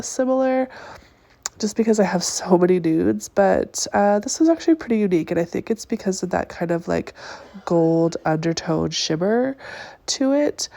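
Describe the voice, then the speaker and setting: Stuffed-up woman with a gravelly voice. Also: on the low side, mellow, no room sound. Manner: chatty, many ums and likes. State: stuffed-up nose. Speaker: nail artist reviewing polish. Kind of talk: casual voice-over product review. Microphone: close voice-over mic.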